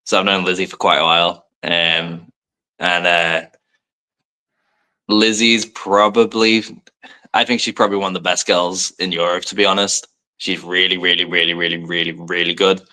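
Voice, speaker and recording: Young man, from Liverpool, very low voice, bright tone, soft English accent, rambling casual guest chat. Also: steady tone, moderate pace, poor audio. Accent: soft English, light Americanisms. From Liverpool, England. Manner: rambling, many fillers, self-deprecating. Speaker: professional wrestler, guest. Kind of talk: casual podcast interview.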